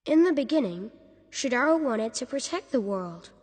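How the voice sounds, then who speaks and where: A woman voicing a grave, measured storyteller in a dramatic fantasy game. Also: sits high, big pitch swings, a little mellow, husky, in a large hall, full character voice.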